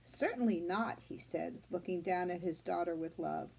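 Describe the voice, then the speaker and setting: A woman doing a read-aloud for young children, explaining simply with deliberate emphasis, like a teacher. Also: fairly low pitch, flat delivery, creaky, dark tone, slight background noise, low-quality recording. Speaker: children's story reader, school media specialist.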